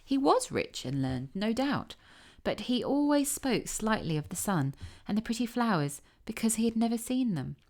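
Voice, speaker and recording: A woman reading a classic fairy tale aloud as a storybook narrator, expressive and careful. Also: a little high, normal speed, few pauses.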